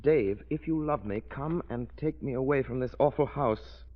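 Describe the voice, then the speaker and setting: An actor playing a composed, knowing gentleman detective, measured and grave, in a 1930s radio drama. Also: medium pitch, natural voice. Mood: measured, reading. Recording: dull, hissy 1930s radio recording.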